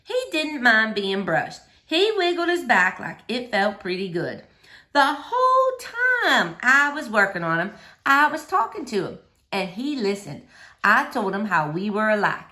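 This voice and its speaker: Bright, animated woman reading aloud. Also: on the high side, a little slow. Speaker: read-aloud host for children. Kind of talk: expressive storybook narration.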